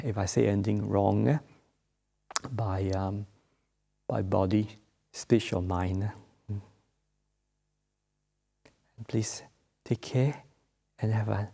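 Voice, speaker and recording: Soft-spoken Malaysian monk with a gravelly voice. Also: male voice, on the low side. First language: not English. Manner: halting, many filler sounds; gentle, unhurried teaching. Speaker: Buddhist monk. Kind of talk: Dharma talk.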